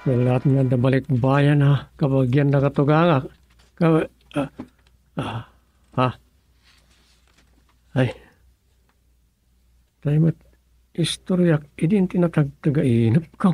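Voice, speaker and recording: An actor playing an easygoing village husband in an Ilocano comedy radio drama, with an Ilocano Filipino accent and muttered, hesitant interjections. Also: male voice, medium pitch, slow, long pauses, dark tone, faint music behind. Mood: dreamy musing. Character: easygoing comic village husband and aspiring scriptwriter.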